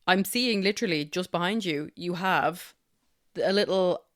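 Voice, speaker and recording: A woman's voice: on the low side, no echo, clean studio sound. A woman co-hosting a podcast, recapping a movie with enthusiastic, gushing chat. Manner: enthusiastic, gushing, quick to laugh. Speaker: podcast co-host.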